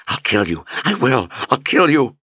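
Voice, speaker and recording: A man's voice: mid-range voice, very animated, moderate pace, bright, forceful tone, husky, dry recording, voice pushed up, full character voice. A man voicing an anguished young female warrior who screams, sobs and pleads. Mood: frantic.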